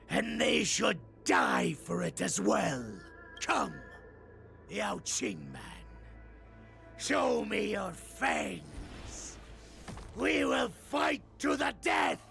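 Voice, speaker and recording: An actor playing a fierce, zealous wolf-beast warrior in a video game, with a growling, gravelly voice and a grandiose manner. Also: male voice, high voice, fairly bright tone, full character voice. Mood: fierce, roaring. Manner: slow, grandiose, dramatic delivery. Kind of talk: dramatic video game acting. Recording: music behind the voice.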